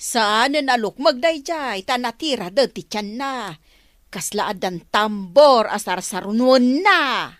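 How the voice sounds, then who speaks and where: A woman acting a scandalized Filipino mother in a radio drama. She speaks slowly in a highish voice that swoops widely, stretching out her gossipy exclamations. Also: expressive, fairly bright tone, dry recording, clean studio sound.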